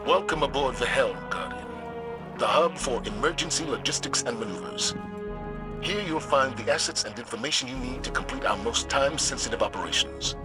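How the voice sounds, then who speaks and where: A man playing a composed, authoritative military commander in a video game, giving a formal, measured briefing. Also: medium pitch, big pitch swings. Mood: formal, welcoming. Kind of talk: video game cutscene acting. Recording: loud music behind the voice.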